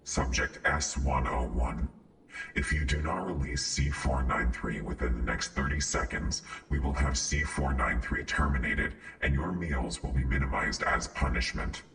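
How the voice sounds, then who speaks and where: A man voicing a cold, authoritarian containment guard in an audio roleplay, stern and official, with a deepened intercom effect. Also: very animated, a little slow, a bit bright, heavily acted. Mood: stern, threatening. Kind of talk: audio roleplay acting, formal announcements. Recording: echoey, distant, slightly noisy announcement.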